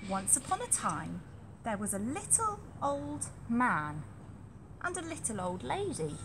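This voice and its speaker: A woman reading a story aloud to young children in an animated, deliberate, emphatic way, as a nursery storyteller. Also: fairly high pitch, background noise.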